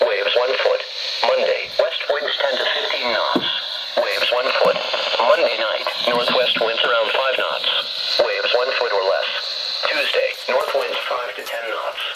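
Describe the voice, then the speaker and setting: A man's voice: medium pitch, a little slow. A synthetic man's voice reading a scripted weather forecast as a radio announcer, formal and impersonal, in clipped phrases. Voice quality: synthetic text-to-speech voice. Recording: very noisy weather radio broadcast. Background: loud radio static.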